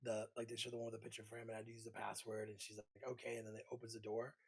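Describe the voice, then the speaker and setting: A man's voice: on the low side, monotone, rapid-fire, vocal fry, slightly rough. A man co-hosting a podcast, chatting informally and giving his opinions on games. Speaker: podcast co-host. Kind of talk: casual chat about games.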